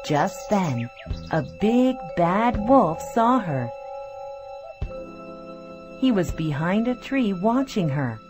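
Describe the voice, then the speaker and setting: A woman's voice: medium pitch, very animated, slow, long pauses. A woman narrator reading a scripted fairy tale for children. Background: faint music.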